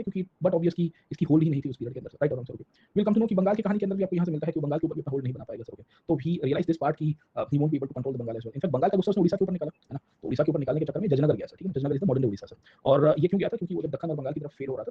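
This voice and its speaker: Very fast, husky Indian male history teacher. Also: on the high side. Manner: conversational, peppered with rhetorical check-in questions and tag words; mixes Hindi and English. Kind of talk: informal classroom lecture. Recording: dull lo-fi audio.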